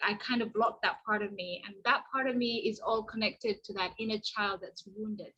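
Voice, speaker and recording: A woman's voice: medium pitch, steady tone, normal speed, sharp tone, slightly rough, dull audio. A woman whose first language is Somali, speaking in an earnest, reflective way with many restarts as a podcast guest on a remote call. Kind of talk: casual podcast conversation. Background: washing machine noise.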